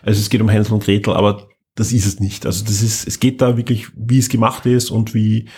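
A man's voice: fairly low pitch, level tone, fast talker, a little mellow, husky. A man hosting a podcast, chatting casually and enthusiastically about audio dramas in an emphatic way. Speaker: podcast host.

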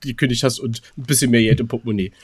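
A man's voice: mid-range voice, fairly even intonation, very fast, husky. Casual joking male podcast co-host. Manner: joking, colloquial, mock-formal asides. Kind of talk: casual comedic banter.

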